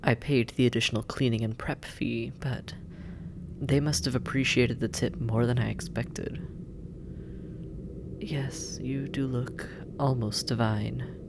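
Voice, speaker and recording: An actor playing a sardonic, possessive demon captor in an intimate audio roleplay, musing and teasing, often dropping into breathy murmurs. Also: mid-range voice, steady tone, measured pace, background noise. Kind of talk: intimate scripted audio roleplay addressed to listener.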